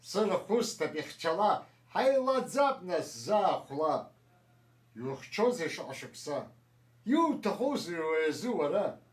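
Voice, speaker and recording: A man's voice: fairly high pitch, big pitch swings, takes it slowly. A man, a voice actor talking casually and reading verse at a cartoon session. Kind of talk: casual talk and verse read-throughs between takes. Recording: roomy, distant microphone.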